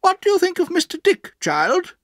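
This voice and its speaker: A narrator voicing a stern, sharp-tongued older woman, an aunt with an emphatic, commanding manner, in a storybook reading. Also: extremely high pitch, huge pitch swings, normal speed, dry recording, studio quality, pitched above the performer's own voice, full character voice. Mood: firm.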